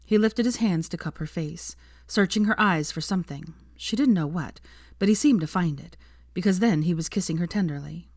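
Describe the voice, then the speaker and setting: A woman's voice: on the low side, normal speed, a little mellow, no room sound, clean studio sound. A woman reading fiction aloud as an audiobook narrator, in scripted storytelling style.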